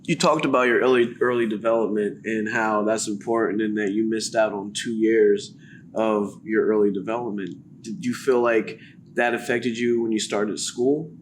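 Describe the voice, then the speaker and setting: A man in his thirties hosting a casual podcast chat, easygoing and quick to laugh as he tells stories. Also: fairly low pitch, steady tone, slight background noise. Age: 30s. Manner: easygoing, laughs often, storytelling. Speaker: podcast host, former boxer.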